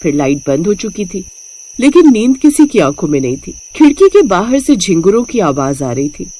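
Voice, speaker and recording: A woman's voice: mid-range voice, big pitch swings, moderate pace, dark tone, slight background noise. A woman narrating a scripted cartoon story as its storyteller.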